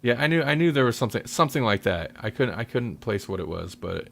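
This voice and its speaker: A man's voice: medium pitch, very animated, fast, vocal fry, fairly bright tone. A man hosting a podcast in casual chat, rambling loosely as he searches for his words. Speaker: podcast host.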